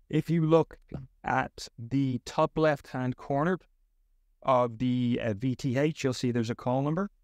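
A man giving a step-by-step software tutorial as a tech support instructor. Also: mid-range voice, dry recording, clean studio sound.

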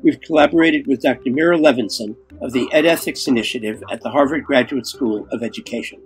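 A man's voice: medium pitch, fast, breathy edge, low-quality recording. A man in his seventies reading a scripted introduction as a podcast host, speaking from home on a video call. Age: 70s. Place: small room at home. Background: plucked guitar music.

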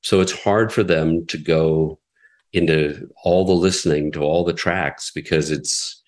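A middle-aged American man, a radio executive, answering interview questions in a measured, explanatory way with frequent fillers. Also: rumbling low, fairly even intonation. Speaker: classical radio station president, guest.

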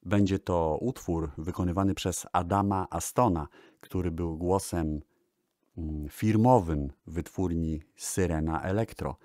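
A man presenting music history in a radio style, speaking deliberately with pauses and hesitations. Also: low voice, no room sound, studio quality.

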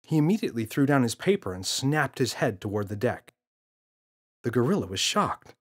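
A man narrating a children's story from a script, as an expressive storyteller. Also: medium pitch, normal speed, breathy edge. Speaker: author and audiobook narrator.

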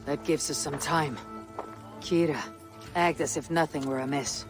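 A woman's voice: low-pitched, steady tone, measured pace, over music. Terse, stoic Viking warrior woman. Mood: grave, measured. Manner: terse, dry, matter-of-fact. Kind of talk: game cutscene acting.

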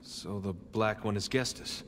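A man's voice: on the low side, a little slow, fairly bright tone, slight background noise. An American actor playing a casual, wry, jaded treasure hunter adventurer in a video game, joking as he goes. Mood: wry, curious. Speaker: video game lead character. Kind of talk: cinematic video game dialogue. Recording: game music behind the voice.

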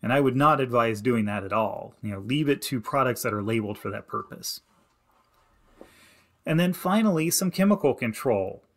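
A man narrating a slideshow lesson as an extension educator, giving plain, practical advice in a steady instructive way. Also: medium pitch, moderate pace. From Indiana. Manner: plain, practical and advising, with many "you need to" instructions. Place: voice-over to slides.

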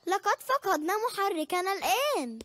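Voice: very high-pitched, sing-song, moderate pace, sharp tone, exaggerated voice. A performer voicing a cheerful, curious little girl pig in a preschool cartoon, sounding childlike and eager. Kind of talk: Arabic-dubbed preschool cartoon.

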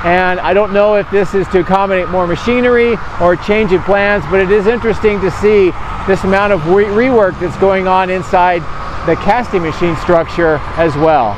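A man in his fifties giving a measured, explanatory vlog update to camera outdoors. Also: sits high, fairly even intonation. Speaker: drone vlogger. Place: outdoors beside large industrial building site. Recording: clear outdoor site and vehicle noise. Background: vehicles, outdoor ambience.

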